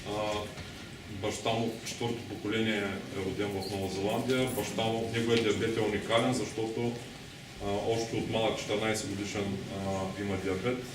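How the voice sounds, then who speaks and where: A man interpreting a talk and summarizing quickly, with frequent filler sounds. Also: on the low side, level tone. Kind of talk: consecutive interpreting. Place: conference hall. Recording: echoey hall, distant mic, noise.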